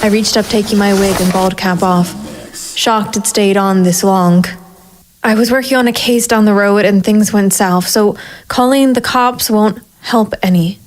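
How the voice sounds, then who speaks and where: A woman's voice: medium pitch, steady tone, a little slow, close to an everyday voice. A woman playing a hardboiled, sardonic private investigator in a noir audio drama, with a wry, self-mocking manner. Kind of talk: first-person noir audio drama narration and dialogue.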